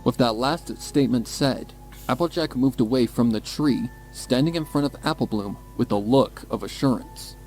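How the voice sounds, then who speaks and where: A man's voice: medium pitch, moderate pace, a little mellow. Man narrating a suspenseful story over music. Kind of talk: dramatic scripted story narration. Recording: music behind the voice.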